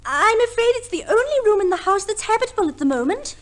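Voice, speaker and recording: An arch society wife from an old radio drama. Her voice is extremely high and very animated, poised and drily polite. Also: fast. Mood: polite, curious. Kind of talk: 1950s radio play acting.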